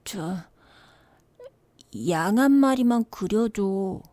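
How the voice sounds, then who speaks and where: A woman voicing a small, mysterious boy in a storybook, gentle and insistent, with childlike, drawn-out phrasing. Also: medium pitch, animated, no room sound, studio quality. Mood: soft pleading.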